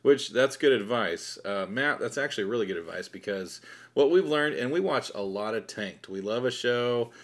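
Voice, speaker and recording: A man's voice: mid-range voice, animated, moderate pace. A man co-hosting a casual home video, explaining things patiently with uh fillers and repeated words. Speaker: co-host prompting and explaining.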